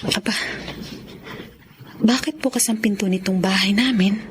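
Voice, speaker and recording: A woman's voice: mid-range voice, normal speed, a little hiss or hum, lightly acted. A woman playing an anxious, weary, submissive mother in an emotional Tagalog radio drama. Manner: emotional, fretful. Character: anxious, weary, submissive mother protecting her abusive husband.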